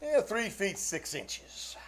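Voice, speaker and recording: A male actor performs a middle-aged uncle from a 1940s radio comedy, pompous and blustery. His voice sits fairly high for a man and swings widely in pitch. He hems, exclaims and gets flustered with an air of great self-importance. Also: big pitch swings, takes it slowly, a bit bright, exaggerated voice. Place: live stage performance in a theater.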